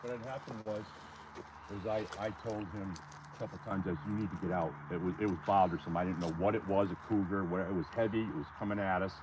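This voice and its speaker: A man's voice: low voice, fast. A man outdoors on a wooded ridge, earnestly telling the story of his encounter, rambling and restarting as he goes. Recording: outdoor noise.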